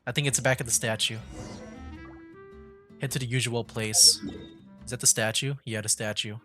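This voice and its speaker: A man's voice: medium pitch, steady tone, normal speed, pushed and bright, faint music behind. A man giving casual live commentary as he plays a game, reacting in a hesitant, muttering way. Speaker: streamer, VTuber playing a game.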